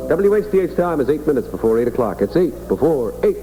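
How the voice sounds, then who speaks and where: A male radio announcer from the early 1960s with a lively, varied delivery. His voice is a little high, and he reads like a morning host over a vintage broadcast with music behind him. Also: quick pace, rough recording.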